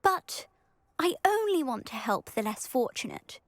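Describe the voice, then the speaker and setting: A woman's voice: sits high, very animated, unhurried, no room sound, full character voice. An actress voicing a posh, vain teenage schoolgirl witch in a video game, speaking in a haughty, theatrical English accent with overly refined diction. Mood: smug, persuasive. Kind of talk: video game dialogue acting.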